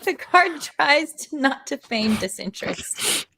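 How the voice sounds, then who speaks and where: A woman reads stage directions as narrator of an informal live table read. Her voice sits fairly high and has a noticeable creak. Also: very animated, slow pace, bright, forceful tone.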